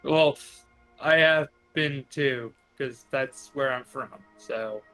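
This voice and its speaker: A man's voice: mid-range voice, takes it slowly, sharp tone, low-quality recording. A man playing a tabletop roleplaying game, chatting casually and improvising in a hesitant, apologetic way. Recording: music behind the voice. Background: music.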